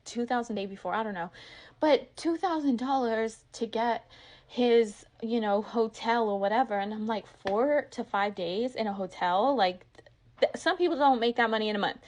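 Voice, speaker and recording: A woman candidly telling a personal story in an emotional, venting way, passionate and emphatic, with "like" peppered all through her sentences. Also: fairly high pitch. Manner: emotional, venting, says "like" constantly. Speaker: online content creator.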